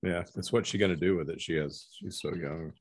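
Low-pitched man, halting interviewer. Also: fairly even intonation, husky. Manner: halting, rephrasing questions mid-sentence. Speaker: podcast host interviewing an author. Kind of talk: casual conversational interview.

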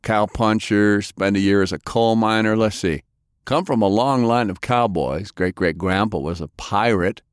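A man's voice: lowish voice, moderate pace, clear, resonant tone, no echo, studio quality, natural voice. A man voicing a brash, hard-drinking adventurer who talks in a boisterous, swaggering way. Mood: boastful. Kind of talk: audiobook character voice.

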